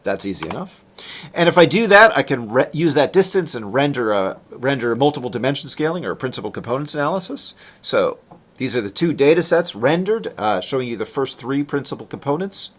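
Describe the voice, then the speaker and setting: A man presents technical research in an explanatory, conversational lecture style. His intonation is lively and moves up and down a good deal. He talks as a researcher walking listeners through slides. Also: mid-range voice, a little fast. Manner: explanatory, conversational, with rhetorical questions.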